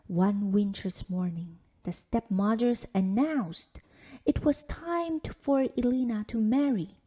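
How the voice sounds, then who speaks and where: Mellow woman reading a children's folktale. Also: medium pitch, slow, slightly rough. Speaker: storybook reader. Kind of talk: expressive scripted read-aloud of a children's folktale.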